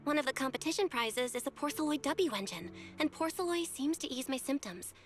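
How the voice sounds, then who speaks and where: An earnest young woman proxy, determined but anxious. She speaks in a high voice. Faint game music runs underneath. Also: expressive, a little fast.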